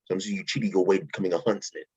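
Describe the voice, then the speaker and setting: Lower-voiced man reading sneering lines. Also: little pitch movement, very fast, a little mellow, breathy edge. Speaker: table-read actor. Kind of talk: reading a role aloud. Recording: dull lo-fi home mic.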